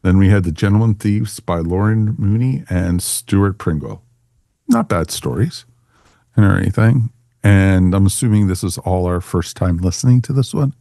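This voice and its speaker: A man from the United States hosts a casual podcast. His voice sits fairly low and is recorded very dry. He rambles along in a chatty, welcoming way. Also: lively intonation, moderate pace, gravelly, dark tone, dry recording. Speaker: podcast host.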